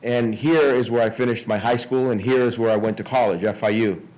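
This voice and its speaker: A man's voice: medium pitch, steady tone, brisk, darkish tone, poor audio. A man giving an informal public speech, often repeating words and restarting as he goes. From Miami, United States. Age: 60s. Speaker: conference speaker.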